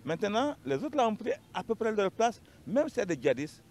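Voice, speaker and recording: A man's voice: sits high, big pitch swings, a little fast, darkish tone, a little hiss or hum. A man with a Malian accent giving an unscripted account as a panel guest, emphatic and repeating his phrases.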